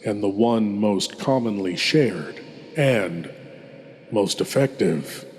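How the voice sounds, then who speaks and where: Man as a slow, ominous horror host. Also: fairly low pitch, lively intonation, slight background noise. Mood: ominous, courtly. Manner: deliberate, theatrical, ominous pauses. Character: ghoulish horror host lord, brooding and courtly. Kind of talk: scripted horror host monologue.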